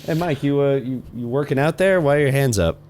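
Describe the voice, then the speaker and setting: A man's voice: medium pitch, brisk, a little mellow. A man in casual improvised comedy banter, talking hesitantly and often restarting. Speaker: improv podcast performer.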